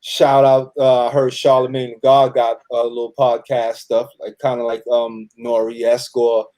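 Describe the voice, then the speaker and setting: A man from New York speaking as a cast member in a casual interview, halting and slangy. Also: mid-range voice, completely flat delivery, warm tone. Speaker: audio drama cast member being interviewed.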